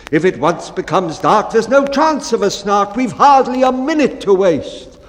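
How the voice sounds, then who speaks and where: A man voicing an impatient, commanding bellman in a dramatic poetry reading, loud and imperious. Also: high voice, lively intonation, unhurried, in a large hall, pitched above the performer's own voice. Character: blustering, impatient bellman, commanding leader of men.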